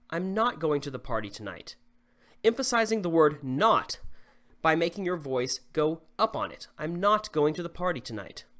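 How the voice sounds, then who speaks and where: A man in his thirties from the southern US with a slight Southern accent, teaching English clearly and deliberately to camera from a small home studio. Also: fairly high pitch. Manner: clear, deliberate teaching delivery with modeled examples. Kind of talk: scripted lesson to camera.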